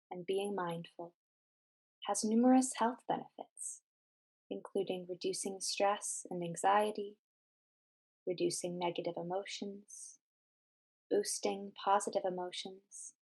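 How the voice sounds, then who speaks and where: A woman narrating a scripted guided meditation in a measured, deliberate, instructional way. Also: medium pitch, a little mellow.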